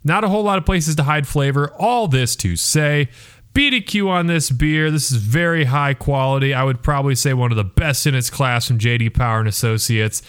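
A man's voice: medium pitch, a little fast, a bit bright, clean tone. Man in a casual, descriptive review chat. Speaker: beer reviewer and show regular.

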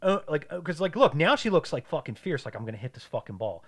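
A man's voice: on the high side, quick pace, a crowd in the background. A man co-hosting a casual podcast, retelling movie scenes in crude language with "like" in nearly every phrase. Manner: animated, crude, says "like" a lot. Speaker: podcast co-host. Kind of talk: casual chat over a movie.

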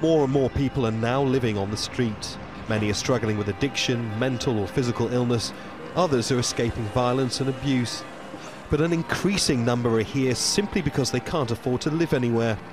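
An actor playing a serious news reporter delivering a scripted report in an audio drama. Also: male voice, medium pitch, fairly quick, music in the background, natural voice. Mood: grave.

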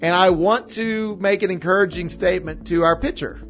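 Loud, carrying man on a podcast. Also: high voice, normal speed, faint music behind. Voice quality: loud voice that carries. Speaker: podcast co-host, church leader. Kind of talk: casual chat. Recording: dull lo-fi podcast audio.

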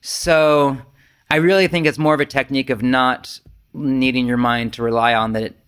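A man's voice: mid-range voice, moderate pace, smooth. Casual, self-deprecating man giving a talk. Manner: animated, self-deprecating, chuckles often. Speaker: Zen teacher leading a retreat talk. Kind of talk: informal dharma talk, conversational and rambling.

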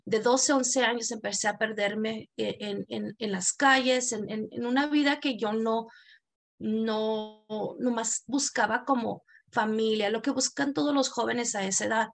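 A woman's voice: fairly high pitch, fairly even intonation, unhurried, gravelly. A woman from El Salvador with a Mexican Spanish accent, telling her story earnestly as a podcast guest. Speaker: podcast guest, community leader. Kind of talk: personal testimony in an interview.

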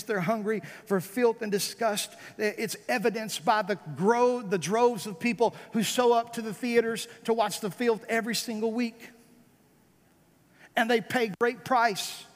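A man with an American accent preaching a fervent revival sermon as a pastor, emphatic, repeating phrases and asking rhetorical questions. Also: high voice. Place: church service, amplified in a large room. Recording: reverberant large hall.

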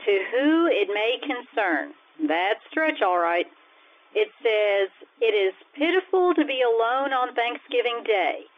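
An actress playing a sardonic high school English teacher with dry, deadpan asides in an old-time radio comedy. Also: female voice, slow. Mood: dry, amused. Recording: tinny, phone-like old radio-style sound.

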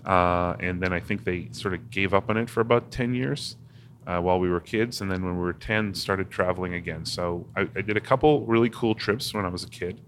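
A man's voice: sits low, brisk, slight background noise. A man from Massachusetts, a guest in an interview, talking casually and rambling with many restarts and fillers. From Massachusetts, USA. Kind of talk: casual conversation.

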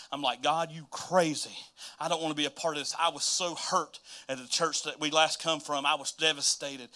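A man with a Southern US accent preaching an informal, folksy church sermon and reading scripture, talking straight to his listeners and moving between emphatic and hushed delivery. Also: fairly high pitch.

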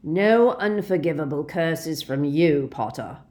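A woman voicing a sneering, commanding male wizard villain, shouting contemptuous taunts in a dramatic audiobook style. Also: sits low, normal speed, creaky.

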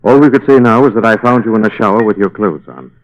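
An actor playing a calm, resolute, protective gentleman crime-fighter in a 1940s radio drama. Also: lowish voice, fast, natural voice. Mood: reassuring, resolute. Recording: muffled old radio recording.

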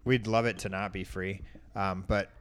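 A man's voice: deep voice, steady tone, normal speed, clear, resonant tone. A man co-hosting a podcast in easygoing casual chat, fighting a cough. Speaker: podcast co-host.

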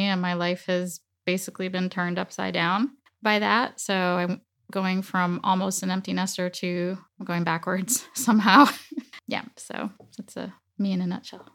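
A woman's voice: mid-range voice, fairly bright tone. A woman in her forties, raised in the Dominican Republic with Spanish as her first language, telling her story earnestly and reflectively as an interview guest. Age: 40s. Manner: earnest, reflective, laughs lightly. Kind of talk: casual personal storytelling.

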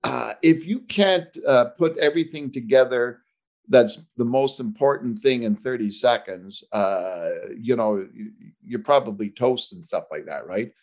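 A man's voice: medium pitch, measured pace, darkish tone. A man hosting a podcast interview, talking casually and often checking in with "right?". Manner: conversational, asks questions, tags "right?".

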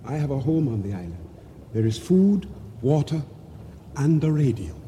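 A man's voice: medium pitch, sing-song, moderate pace, dark tone, a little hiss or hum, natural voice. Actor as solemn island boatman telling his tale. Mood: subdued, pleading. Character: regretful male island boatman recounting his downfall. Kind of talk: first-person narration and dialogue in radio drama.

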